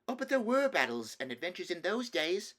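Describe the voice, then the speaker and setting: A man's voice: high voice, big pitch swings, fairly quick, a bit bright, voice pushed up. A man voicing an innocent, earnest little boy prince in a storybook, childlike and prone to babbling. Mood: eager, innocent.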